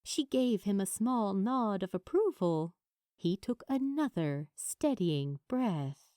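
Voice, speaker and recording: A woman reading fiction as an audiobook narrator, in an expressive storytelling style. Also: medium pitch, slow, no echo, studio quality.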